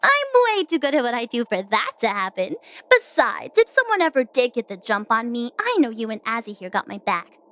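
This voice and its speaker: A woman playing a cocky, bouncy young psychopomp assassin in an audio drama, with a playful, excitable delivery. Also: fairly high pitch, fairly quick. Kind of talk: audio drama character acting.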